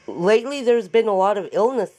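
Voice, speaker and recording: A woman with a raspy voice after an illness, chatting and joking as she demonstrates a paper craft in her home craft room. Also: mid-range voice, lively intonation, fairly quick, a little mellow. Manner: chatty, joking, laughs often. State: recovering from illness. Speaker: craft tutorial host. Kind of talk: casual chat while demonstrating a craft.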